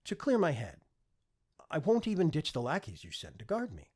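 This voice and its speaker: A man's voice: on the high side, wildly swooping pitch, moderate pace, a little mellow, breathy edge, voice pushed up, heavily acted. A man voicing a rebellious, exasperated young prince in an audiobook, indignant and argumentative. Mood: exasperated, defiant. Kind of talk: audiobook character acting.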